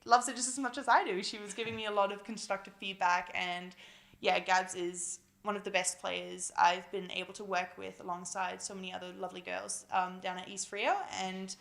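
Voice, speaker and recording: A young woman with a Malaysian accent, a newly drafted footballer, chatting excitedly in a casual interview at home, with lots of fillers and giggles. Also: medium pitch, normal speed, creaky. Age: young adult. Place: busy house at home.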